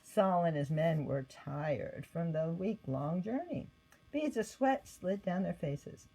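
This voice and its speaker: A woman reading a scripted story aloud as narrator, with expressive delivery. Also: lowish voice, slow, slight background noise, rough recording. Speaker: storybook reader.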